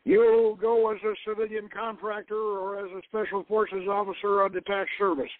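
A man's voice: high-pitched, flat delivery, normal speed, no echo, narrow, tinny audio, pitched above the performer's own voice, lightly acted. A man playing a bullying, commanding government agent in a dramatic novel reading, barking his orders. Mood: threatening.

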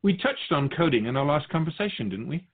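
A man playing a wise otherworldly guide of souls, teaching in a measured, philosophical and gently instructive conversational way. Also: fairly high pitch, sing-song, slightly rough, narrow, tinny audio. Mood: reflective, explaining. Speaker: guest answering questions. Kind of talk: conversational spiritual teaching, question and answer.

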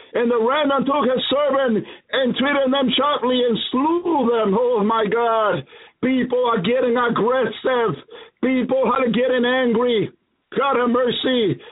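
A man delivers a fervent prophetic sermon in a strikingly high voice, repeating each phrase and dropping hallelujahs between them. The sound is narrow and tinny, like a broadcast heard over a phone line. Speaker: preacher.

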